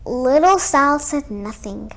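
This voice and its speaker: A woman's voice: sits high, lively intonation, slow pace, vocal fry, a bit bright, rough recording. A young girl hosting a children's storytime, reading a picture book aloud. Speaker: storytime host.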